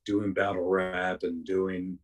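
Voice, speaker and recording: Raspy-voiced man, rambling and low. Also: level tone, slow pace, distant mic. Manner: rambling, many fillers and restarts. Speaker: podcast guest. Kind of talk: casual chat.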